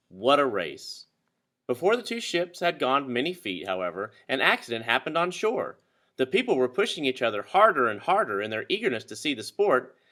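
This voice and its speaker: A man reading a children's story aloud with expressive, dramatic narration. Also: a little high. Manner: dramatic, animated. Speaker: storybook reader.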